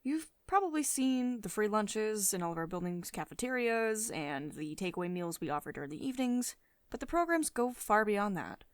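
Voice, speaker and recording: A woman playing a poised, teasing, mildly dominant company boss in an intimate ASMR roleplay, speaking in a measured, authoritative and condescendingly affectionate manner. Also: mid-range voice, sing-song, clean tone, no echo, clean studio sound, natural voice. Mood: explanatory, authoritative. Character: poised, teasing, mildly dominant female CEO of tech firm. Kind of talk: ASMR roleplay, intimate one-sided dialogue. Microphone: close studio-style microphone, dry voice-over.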